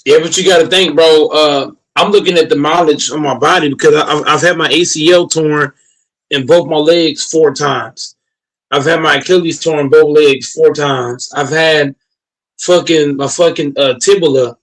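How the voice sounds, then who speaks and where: Boastful, repetitive man with a higher voice. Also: fairly even intonation, normal speed, poor audio. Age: late 20s. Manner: boastful, repeats himself, stammers on restarts. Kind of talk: casual profane chat.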